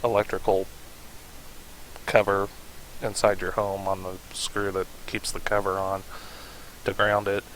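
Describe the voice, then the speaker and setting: A man narrating a casual how-to tutorial in a halting manner, with pauses to think. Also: fairly low pitch, poor audio. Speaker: tutorial host. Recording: steady hiss behind the voice. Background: hiss.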